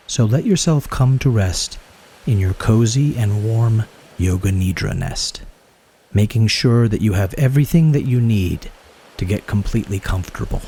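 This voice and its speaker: A man guiding a yoga nidra meditation in a soothing, unhurried way, speaking in short phrases. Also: on the low side, darkish tone, a little hiss or hum. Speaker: guided meditation teacher.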